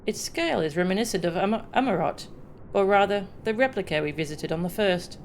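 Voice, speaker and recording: A woman's voice: medium pitch, brisk, slight background noise. A woman streaming a game with casual, exclamatory reactions, sometimes reading dialogue aloud, with music behind her. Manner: expressive, exclamatory.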